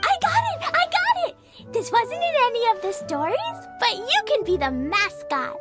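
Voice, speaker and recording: A woman's voice: very high-pitched, wildly swooping pitch, normal speed, loud music behind the voice, heavily acted. A woman voicing a cheerful, innocent little girl in a video game, bubbly and earnest. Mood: excited. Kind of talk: video game cutscene voice acting.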